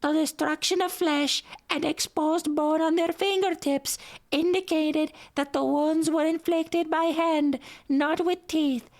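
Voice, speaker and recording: A man voicing a tiny, giggly cartoon lemur with a squeaky voice, reading a horror story slowly and deliberately. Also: steady tone, full character voice. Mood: gleefully creepy. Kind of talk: creepy story narration in cartoon voice.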